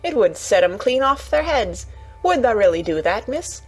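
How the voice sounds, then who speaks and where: A woman's voice: a little high, big pitch swings, normal speed, a little mellow, husky, faint music behind. A woman voicing a cheerful young Yorkshire housemaid in a storybook, chatty and eager, with homely dialect and exclamations. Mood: delighted.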